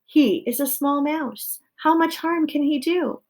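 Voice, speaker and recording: A woman's voice: medium pitch, lively intonation, unhurried. Woman playing a haughty mother mouse. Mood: dismissive. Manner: indignant, dismissive, foreign phrasing.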